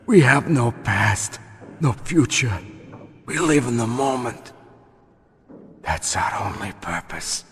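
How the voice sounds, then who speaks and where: Dying masked psychic villain: bitter, sinister, very slow theatrical delivery, drenched in long reverb, for a game. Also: male voice, mid-range voice, sing-song. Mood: bitter contempt. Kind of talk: dramatic video game acting. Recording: noisy, heavily reverberant game audio.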